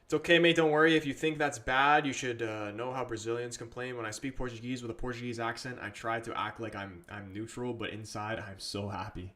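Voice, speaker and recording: A man's voice: mid-range voice, steady tone, a little fast, few pauses, fairly bright tone. A man with an English accent hosting a casual live stream, chatting through a football match and reading out viewer comments. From England. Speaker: livestream host.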